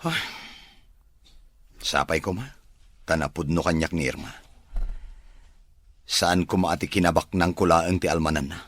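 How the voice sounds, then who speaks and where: A man's voice: low voice, fairly even intonation, measured pace, long pauses, fairly bright tone. A man playing a smitten, gullible rich bachelor who dotes on his mother, in an Ilocano radio drama. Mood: earnest, hopeful. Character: smitten, gullible rich mama's-boy bachelor, eager and soft-hearted.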